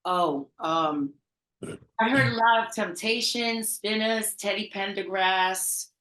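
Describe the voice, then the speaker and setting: A woman's voice: mid-range voice, takes it slowly, echoey room. A middle-aged woman from the Bronx, telling stories in a casual interview and laughing often. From the Bronx, New York. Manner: animated, chatty, laughs often, trailing restarts. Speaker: party promoter, interview guest.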